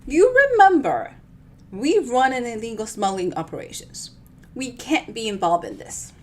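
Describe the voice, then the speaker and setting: A woman's voice: a little high, sing-song, moderate pace, darkish tone, a little hiss or hum. A woman voicing an exasperated, dry-humored woman from a comic novel, incredulous and emphatic.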